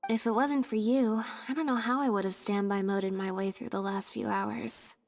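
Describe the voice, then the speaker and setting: A woman playing a reserved young female stage assistant in a video game, speaking in a deadpan, understated way. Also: medium pitch, normal speed, in a large hall, soft background music, natural voice. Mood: subdued.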